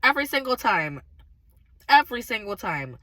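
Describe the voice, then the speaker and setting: A woman with a slightly raspy, hoarse voice from recent illness, casually and earnestly telling viewers a faith testimony. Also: fairly high pitch, big pitch swings, normal speed, sharp tone, dry recording. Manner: earnest, emphatic, talks straight to viewers. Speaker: vlogger sharing a faith testimony.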